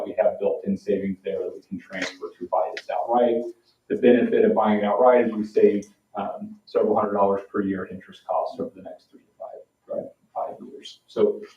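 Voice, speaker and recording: A man giving a formal budget presentation at a public meeting, speaking in a hesitant, explanatory way. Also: lowish voice. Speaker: town finance presenter. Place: town council meeting room. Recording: echoey room, distant mic, dull sound.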